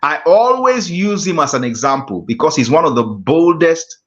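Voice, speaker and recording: A man with a Nigerian accent leads a speaking class in a measured way, circling back to repeat his key phrases. His voice sits a touch high. The audio is muffled and lo-fi. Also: sing-song, a bit bright. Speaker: guest speaking coach. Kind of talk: teaching a public-speaking class.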